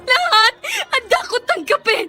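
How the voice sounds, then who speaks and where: Casting: long-suffering young Filipina servant for radio melodrama, piercingly high, earnest, faint music underneath. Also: female voice, animated, measured pace, bright, forceful tone, exaggerated voice. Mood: hurt, pleading. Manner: tearful, pleading, polite. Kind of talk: melodramatic radio drama acting.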